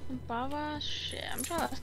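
A woman chatting casually with fellow gamers, slangy, sweary and excitable. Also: high voice, normal speed. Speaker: gamer in a co-op group. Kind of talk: casual gaming chat.

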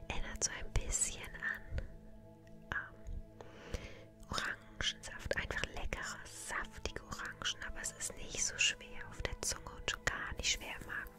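Casting: woman, 30s, whispered ASMR shopkeeper, gentle and polite, close-miked over soft music. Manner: gentle, unhurried, polite customer service. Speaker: ASMR creator playing a tea shop owner. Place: small indoor room. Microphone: close to the microphone. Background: relaxing music.

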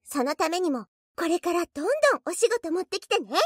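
A proud, cheerful young idol from an anime game. The voice is extremely high and girlish, swinging animatedly as she boasts with theatrical, perky confidence. The sound is dry and close. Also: animated, fast, dry recording. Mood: perky, confident. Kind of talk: anime game character voice lines.

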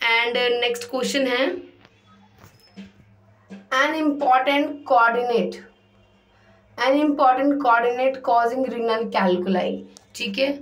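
A woman tutoring for a nursing exam, reading each question slowly and then explaining the answer in mixed Hindi and English. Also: on the high side, fairly bright tone, rough recording. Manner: mixes Hindi and English, repeats "ठीक है". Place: voice-over on screen recording.

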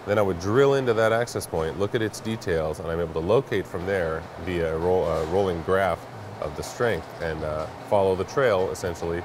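A man with a low voice explains a tech product as an interview guest. He is matter-of-fact and slightly hesitant, with clear warehouse noise around him. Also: a little fast. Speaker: network product company representative, guest. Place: large indoor warehouse staging space.

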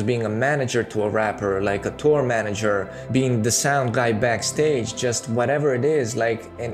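A man in his thirties with a Serbian accent, talking candidly to camera in a casual home video, close to a studio mic. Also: mid-range voice. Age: 30s. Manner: candid and conversational, with many fillers. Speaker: YouTuber. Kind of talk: casual talking-head monologue. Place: small room at home, indoors. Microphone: studio mic on boom arm, close.

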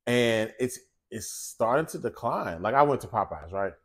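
Chatty forties male cook-show host, mid-pitched, talks to camera from his home kitchen. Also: moderate pace. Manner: chatty, animated, talks straight to viewers. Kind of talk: casual cooking chat and monologue.

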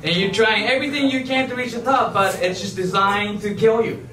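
A young man from Japan performs stand-up with a high voice. He delivers his set emphatically but haltingly, repeating phrases. The handheld stage mic sounds echoey and distant. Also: steady tone, pushed and bright. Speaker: amateur stand-up comedian. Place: theater stage before a live audience. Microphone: handheld wired microphone.

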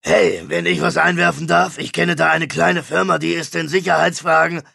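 A man's voice: on the high side, steady tone, moderate pace, vocal fry, sharp tone, no room sound, clean studio sound, voice pushed up, full character voice. A man reading an audiobook, voicing a cheeky male sidekick who talks flippantly and mocks lightly.

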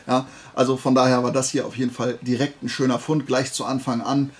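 A man's voice: medium pitch, flat delivery, fairly quick. A man chatting casually and colloquially as he hosts a show-and-tell haul video, with an enthusiastic collector's manner. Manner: conversational, colloquial, enthusiastic collector's chat with self-posed questions. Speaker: host of a flea market haul video.

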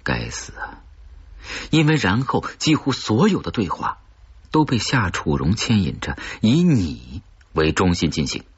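A man reads horror fiction in a deep, dark-toned storyteller's voice. His delivery is brooding and dramatic, with a husky edge. The recording is dull and lo-fi. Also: sing-song, a little slow. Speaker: audiobook narrator of horror fiction. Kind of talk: scripted suspense narration. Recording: dull, muffled lo-fi audio.

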